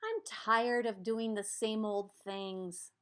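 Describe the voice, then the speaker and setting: A woman's voice: medium pitch, steady tone, slow, fairly bright tone. A woman voicing a restless, dissatisfied but eager talking shape in a children's storybook. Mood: grumbling, weary. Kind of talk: children's storybook read-aloud.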